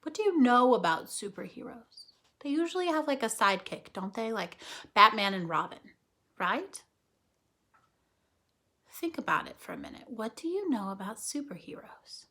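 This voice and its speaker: A woman reading a children's story aloud as a storyteller, with dramatic, deliberate phrasing. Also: a little high, sing-song, sharp tone. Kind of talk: expressive children's story narration.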